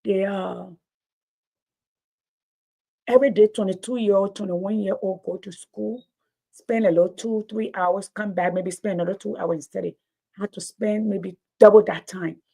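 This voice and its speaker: A woman's voice: mid-range voice, fairly even intonation, moderate pace, creaky, mellow, breathy edge. A woman with a Liberian accent, a teacher and former radio host, giving an emphatic, passionate talk that often repeats words for stress. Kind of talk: impassioned public talk.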